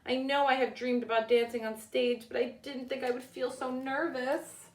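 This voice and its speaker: A woman's voice: high-pitched, level tone, moderate pace, reverberant, far from the mic, voice pushed up, lightly acted. A woman voicing a nervous young girl who dreams of being a ballerina, in a storybook reading. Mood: anxious, nervous. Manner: anxious self-questioning.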